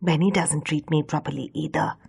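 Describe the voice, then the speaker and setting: A woman's voice: sits low, fairly even intonation, fairly quick, gravelly, darkish tone, breathy edge, exaggerated voice. A woman voicing small, fed-up talking books in a children's story, aggrieved and plaintive.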